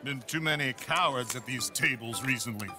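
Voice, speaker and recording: A man's voice: mid-range voice, moderate pace, music in the background, lightly acted. A man playing an arrogant, sneering rich gambler. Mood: haughty. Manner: haughty, sneering.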